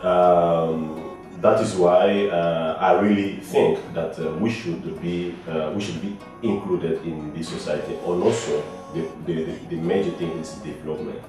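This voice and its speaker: A man's voice: low voice, fairly even intonation, a little slow, hardly any pauses, music in the background. A young man speaking to camera in an indoor room, hesitant and full of fillers and restarts. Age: young adult. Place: indoor room, plain wall. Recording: echoey room, mic at a distance.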